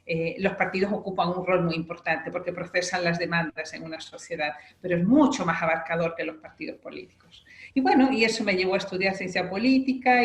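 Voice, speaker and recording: A woman's voice: on the low side, fairly bright tone, roomy sound. A middle-aged woman from Spain, a political scientist explaining her views fluently as an interview guest. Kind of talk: radio interview.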